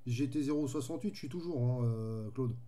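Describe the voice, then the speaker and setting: A man's voice: medium pitch, a little fast, dark tone. A man chatting casually as a radio hobbyist, easygoing and rambling in his way of talking. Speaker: amateur radio operator.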